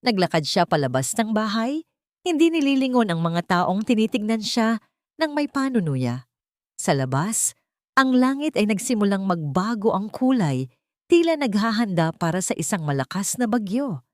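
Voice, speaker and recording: A woman's voice: medium pitch, big pitch swings, normal speed, dry recording, studio quality. A woman reading scripted dramatic narration in a solemn, melodramatic storytelling manner.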